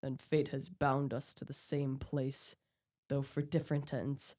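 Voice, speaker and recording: Woman playing low-voiced vengeful dying male scientist. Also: little pitch movement, a little slow, warm tone. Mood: confessing, weakened. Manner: solemn, anguished, melodramatic declaiming. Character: dying, vengeful male scientist obsessed with hunting his creation. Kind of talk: theatrical radio play acting.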